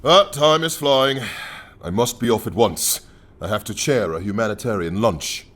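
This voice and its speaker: An actor playing an imposing, mocking male leader of an anarchist council in a radio drama, husky, commanding and theatrically courteous. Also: on the low side, normal speed, a bit bright. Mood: brisk dismissal.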